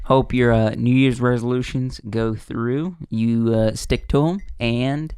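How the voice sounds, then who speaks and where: Southern male co-host, middle pitch, laid-back and chatty, dry close recording. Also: fairly even intonation, measured pace, a little mellow, clear, resonant tone, no room sound. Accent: American Southern. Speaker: podcast co-host. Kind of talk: casual chat.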